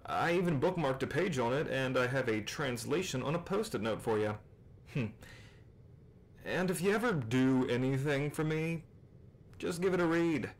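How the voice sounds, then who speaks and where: A man plays a breezy friend leaving a roleplay voicemail. His mid-pitched voice swings up and down in a lively, sing-song way, casual and flippant. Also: normal speed.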